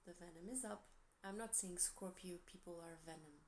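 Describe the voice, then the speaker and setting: A woman with a Portuguese accent, gentle and encouraging, giving a tarot reading with soft asides and self-corrections. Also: on the low side, moderate pace, slight background noise. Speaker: tarot reader.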